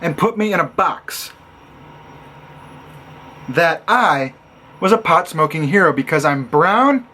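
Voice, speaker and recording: A young man from Illinois talks to the camera in a casual vlog. His voice sits high for a man. He tells his stories with animated sarcasm and acts out what other people said. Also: slow, pauses often. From Illinois, USA. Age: young adult. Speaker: college student vlogger.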